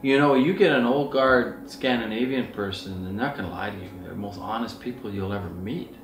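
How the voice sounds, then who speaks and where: A man's voice: fairly low pitch, a little fast, slight background noise. A middle-aged man talking casually and earnestly in a rambling, conversational storytelling way. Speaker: outdoor outfitter and Sasquatch researcher. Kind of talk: casual rambling anecdotal talk. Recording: roomy echo, distant mic, faint music.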